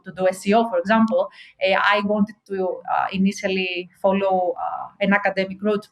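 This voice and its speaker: Greek-accented woman, earnest podcast guest. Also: medium pitch. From Greece. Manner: earnest, many "uh" fillers and restarts. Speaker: podcast guest, SEO strategist. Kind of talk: casual interview answers.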